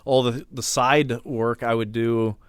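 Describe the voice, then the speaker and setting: A man's voice: mid-range voice, fairly even intonation, unhurried, smooth, no room sound. A young man co-hosting a podcast. He chats casually, swears a lot, and trails off. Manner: laid-back, swears often, trails off. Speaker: podcast co-host. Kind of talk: casual chat.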